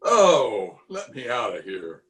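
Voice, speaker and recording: A man's voice: mid-range voice, wildly swooping pitch, takes it slowly, roomy sound. A man playing a pompous, easily exasperated middle-aged official in an old-time radio comedy, recorded at home over a video call. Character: blustery, exasperated middle-aged male water commissioner, pompous and opinionated.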